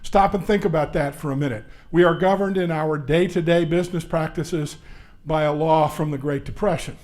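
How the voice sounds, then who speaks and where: A man in his sixties presenting a legal seminar at a conference, talking loudly and quickly with frequent "uh" fillers. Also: on the high side, steady tone. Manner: loud, brisk, conversational lecturer with frequent "uh" fillers. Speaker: labor law attorney presenting a seminar. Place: conference session room. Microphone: handheld or stand microphone.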